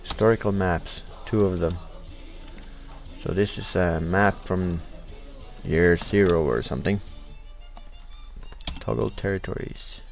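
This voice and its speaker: Young Norwegian man, low voice, halting casual game commentary, faint music bed. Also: creaky. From Norway. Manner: hesitant, many uh fillers, reading in-game text aloud. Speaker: let's play host.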